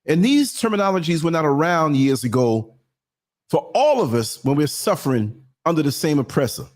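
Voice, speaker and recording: A man's voice: a little high, big pitch swings, normal speed. Earnest talk show host, a man. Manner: earnest, repeats words when pushing a point. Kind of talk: casual chat.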